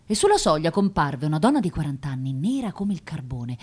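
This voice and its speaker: A woman's voice: low-pitched, sing-song, fairly quick, husky, exaggerated voice. An actress playing an investigator who narrates her visits in a literary, descriptive first-person style for a radio drama. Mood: measured, suspenseful.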